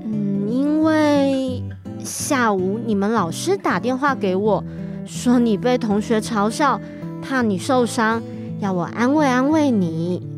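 A fairly high-voiced mother played by a woman speaks slowly and caringly, with a teasing streak. It is a radio play with clear music behind. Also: lively intonation. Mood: concerned. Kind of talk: radio drama acting.

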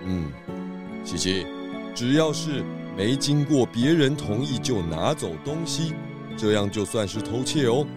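A man playing a calm, kindly pig detective in a children's audio drama, patiently explaining and gently instructing. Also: medium pitch, measured pace, over music, close to an everyday voice. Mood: gently serious.

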